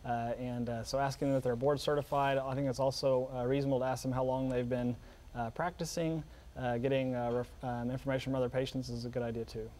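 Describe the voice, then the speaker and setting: A man's voice: mid-range voice, flat delivery, fast talker, warm tone. A man answering interview questions as a doctor, hesitant, with many ums and broken phrases. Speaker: geriatrician guest on a news show.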